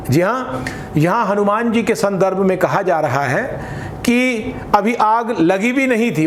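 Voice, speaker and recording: An Indian man giving instructional talk as a voice trainer, speaking in an emphatic, deliberate way and mixing Hindi with some English. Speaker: voice trainer for radio jockeys. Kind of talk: instructional talk with spoken demonstrations. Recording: echoey room with faint rumbling noise.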